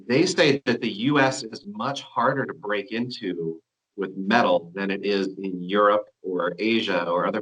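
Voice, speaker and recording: A man hosting a metal interview, asking questions in a halting way with fillers. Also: fairly low pitch, a bit bright, rough recording.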